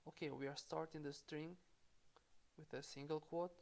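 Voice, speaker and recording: A woman's voice: low-pitched, steady tone, moderate pace, vocal fry, a little mellow. A woman from Albania with an Albanian accent, teaching programming in a screen-recorded tutorial and spelling code out step by step. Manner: explains step by step, spelling out code aloud, with small self-corrections.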